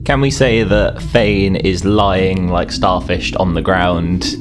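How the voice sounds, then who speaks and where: A man's voice: deep voice, fairly even intonation, slow, over music. A man chatting casually as a player at a tabletop game. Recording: clear background noise, ambience. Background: ambient noise.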